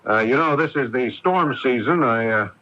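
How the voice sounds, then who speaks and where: An actor playing an easygoing, slightly bashful sculptor in a radio drama. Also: male voice, mid-range voice, expressive, normal speed, close to an everyday voice. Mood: obliging, hesitant. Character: easygoing, slightly bashful male sculptor narrating his story. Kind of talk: early 1980s radio drama narration and dialogue. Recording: very noisy, muffled old AM radio recording.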